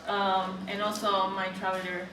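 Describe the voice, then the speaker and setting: Woman, Argentine accent, Spanish native, casual interviewee, mid-pitched voice, roomy distant recording. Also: flat delivery, normal speed, noisy background. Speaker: interview guest, singer. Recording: echoey, distant mic, background chatter.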